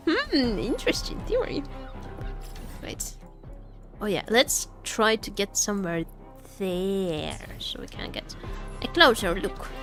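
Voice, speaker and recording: A woman's voice: mid-range voice, sing-song, slow pace, long pauses, fairly bright tone, faint music behind. A woman chatting casually as she livestreams a game, playfully repeating her phrases to viewers.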